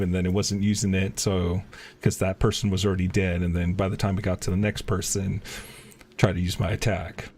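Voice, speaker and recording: A man's voice: low-pitched, darkish tone. A man giving casual live-stream commentary while playing an online game, in short offhand remarks. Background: music behind the voice.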